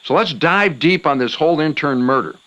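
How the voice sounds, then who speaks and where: An actor playing a folksy, theatrical late-night paranormal call-in radio host who talks in breezy slang. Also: male voice, fairly high pitch, animated, brisk, sharp tone. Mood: showy. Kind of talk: acted radio talk show.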